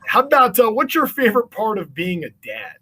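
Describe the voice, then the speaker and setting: A man's voice: high voice, wildly swooping pitch, brisk, sharp tone, breathy edge. A man hosting a casual event as interviewer, putting questions to a guest in an encouraging, affirming way. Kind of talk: casual upbeat hosting, reading audience questions.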